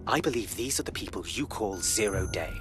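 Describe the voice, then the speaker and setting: A man playing a grave, guarded intelligence analyst in a video game, speaking in formal, measured phrases. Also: lowish voice, lively intonation, music in the background, lightly acted. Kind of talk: cinematic video game acting.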